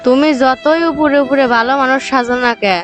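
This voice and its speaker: Female actor as young cartoon businesswoman, hurt and reproachful, high register, music bed. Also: fairly even intonation, fairly quick, lightly acted. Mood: hurt, accusing. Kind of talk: comic cartoon dialogue.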